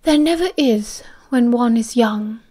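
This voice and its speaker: A woman voicing an old male headmaster wizard, calm and measured, speaking slowly and softly, often near a whisper. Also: a little high. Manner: slow, soft, half-whispered delivery.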